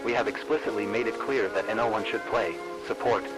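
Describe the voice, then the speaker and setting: A stern, threatening zealot from an analog horror video, voiced by a man. The lines come fast in a lowish voice, scripted and vengeful, with loud music over everything. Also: steady tone, natural voice, rough recording. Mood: cold threat.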